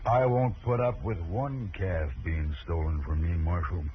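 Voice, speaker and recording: A man playing a stern, proud older cattle rancher in a radio western, gruff and deliberate. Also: low-pitched, fairly even intonation, phone-quality sound. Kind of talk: 1950s radio western acting. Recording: thin, noisy old radio recording.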